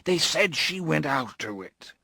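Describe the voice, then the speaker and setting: A man voicing a desperate, drunken gentleman, pleading and bursting out in frantic, high-pitched cries. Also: lively intonation, normal speed, gravelly, dry recording, studio quality, full character voice. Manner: pleading, rising to frantic outbursts.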